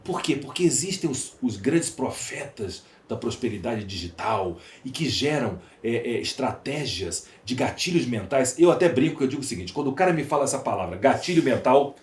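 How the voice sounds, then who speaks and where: A man's voice: medium pitch, animated, normal speed, few pauses. A Brazilian man with a Brazilian Portuguese accent, speaking emphatically and rhetorically as an opinionated podcast guest. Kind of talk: opinionated interview talk. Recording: roomy echo, faint background noise.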